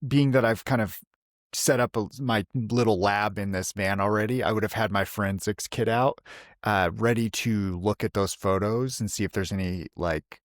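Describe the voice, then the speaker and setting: A man with a somewhat low voice plays in a tabletop game. He talks casually, explaining his moves in everyday language. The recording is dry, with no audible room. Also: fairly even intonation, moderate pace, no room sound.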